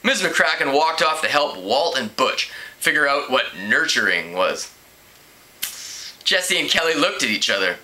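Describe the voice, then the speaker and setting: A man's voice: medium pitch, very animated, a little slow, pushed and bright, rough recording. A man casually reading a teen novel aloud as an amateur storyteller. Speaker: amateur book reader.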